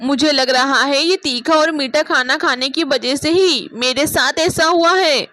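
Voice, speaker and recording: A woman voicing a kindly, long-suffering older mother-in-law in a cartoon moral story, plaintive and gently admonishing. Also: high-pitched, a little slow.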